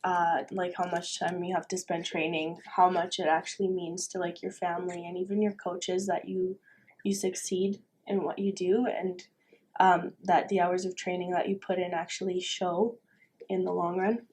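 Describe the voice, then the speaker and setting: A woman's voice: on the low side, level tone, normal speed, darkish tone, a little hiss or hum, rough recording. A young woman from Romania, a university tennis player, talking casually as a podcast guest with frequent fillers and light laughs. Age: young adult. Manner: chatty, laughs often, many fillers. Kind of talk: casual interview.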